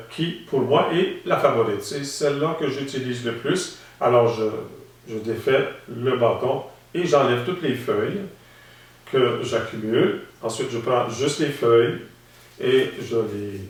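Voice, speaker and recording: A man from Saskatchewan, calmly explaining and demonstrating as an instructor, often pausing with hesitations. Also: medium pitch. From Saskatchewan, Canada. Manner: calm, explanatory, with frequent hesitations. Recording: very echoey room, mic at a distance.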